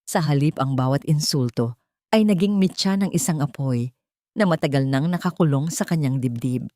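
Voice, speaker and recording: A woman's voice: sits low, normal speed, dark tone, no echo, studio quality. A woman narrating a scripted audio story in a solemn, melodramatic manner.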